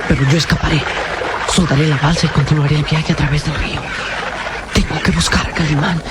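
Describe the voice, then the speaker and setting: A man's voice: a little high, steady tone, fairly quick, very noisy, natural voice. An actor playing a frightened but determined young boy in a radio drama, talking urgently to himself. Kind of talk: 1960s Mexican radio drama acting. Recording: old radio serial recording with loud music.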